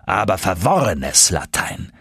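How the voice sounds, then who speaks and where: A man voicing an excitable older professor uncle in a German audiobook, emphatic and commanding. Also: low-pitched, slightly rough, no room sound, heavily acted. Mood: eager, certain. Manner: emphatic, triumphant exclamations and brisk orders.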